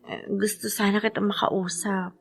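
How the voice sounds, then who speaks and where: A woman's voice: mid-range voice, flat delivery, normal speed, gravelly, husky. A woman playing an earnest, lovestruck young Filipino daughter in a family drama, pleading and hesitant. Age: young adult.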